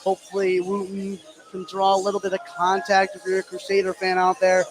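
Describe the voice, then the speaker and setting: A man's voice: high-pitched, completely flat delivery, a little fast. A man giving live color commentary on a basketball game, analytical and often putting questions to his partner.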